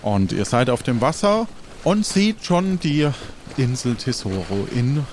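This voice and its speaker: A man hosting and narrating an improvised adventure play as game master, announcing theatrically with drawn-out pauses. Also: mid-range voice, sing-song, a little mellow, a little hiss or hum. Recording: clear music behind the voice. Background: music.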